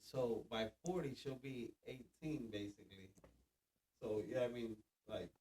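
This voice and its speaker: A man's voice: medium pitch, a little slow, dark tone, poor audio. A man in his thirties, a podcast guest, chatting casually, laughing often and swearing freely. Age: 30s. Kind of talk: casual chat.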